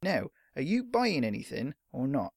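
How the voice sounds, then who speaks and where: A man's voice: medium pitch, sing-song, normal speed, gravelly, no room sound, studio quality. A man voicing a fat, suspicious village shopkeeper in a storybook, brusque and haughty.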